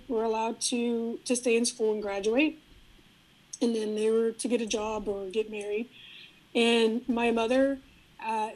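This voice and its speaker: A middle-aged woman whose first language is Spanish, talking as a guest in a relaxed, reflective interview, often restarting her sentences. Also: fairly high pitch, low-quality recording. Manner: reflective, frequent restarts and fillers. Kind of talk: casual interview conversation.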